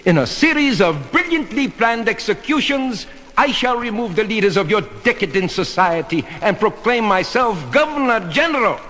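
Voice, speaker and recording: An actor playing a haughty, pompous professor and deluded conquistador villain, with formal theatrical diction in a seventies superhero audio drama. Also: male voice, high voice, big pitch swings, moderate pace, a bit bright. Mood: imperious, menacing. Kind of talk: 1970s superhero record audio drama acting. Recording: reverberant hall sound with background hiss.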